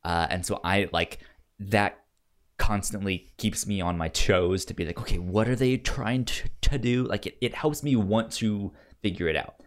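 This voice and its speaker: A man co-hosting a film podcast in casual chat, haltingly repeating words as he thinks. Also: on the low side.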